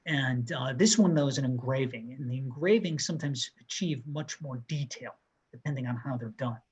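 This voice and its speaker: A man in his thirties co-hosting a museum talk over a video call, walking viewers through artworks in a measured, descriptive way. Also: mid-range voice, a little mellow, breathy edge, muffled recording. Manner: measured, descriptive, pointing out details. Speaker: museum co-host presenting artworks. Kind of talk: art history talk over slides. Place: room with bookshelves.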